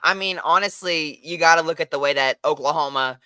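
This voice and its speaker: A young man co-hosting a casual sports podcast, hoarse from losing his voice, talking in a hyped, energetic way. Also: fairly high pitch, fairly quick, no room sound. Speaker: podcast co-host.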